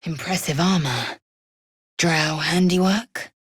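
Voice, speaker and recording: Fierce alien warrior lady for a game, super husky and dry sounding, slow clipped bossy delivery. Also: low-pitched, no echo, heavily acted. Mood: terse, commanding. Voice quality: husky, raspy. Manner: imperious, clipped, deliberate. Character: proud, fierce female alien warrior, curt and scornful. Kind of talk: video game character voice lines.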